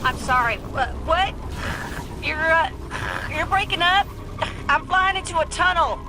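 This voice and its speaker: A woman's voice: extremely high pitch, animated, a little slow, poor audio. A woman playing a cheeky, upbeat young pilot in a video game, bantering in a casual, breezy way. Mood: playful, feigning confusion.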